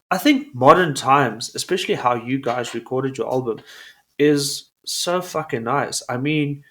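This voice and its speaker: A man, an Afrikaans speaker, hosting a casual interview, animated and swearing freely. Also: mid-range voice. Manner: animated, swears, acts out quotes. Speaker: podcast host.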